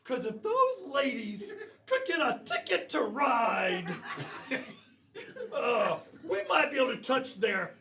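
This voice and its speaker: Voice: mid-range voice, sing-song, takes it slowly, pushed and bright, roomy sound, recorded from a distance. An improv comedy player rambling hesitantly through a scene on a live stage, with lots of fillers and restarts.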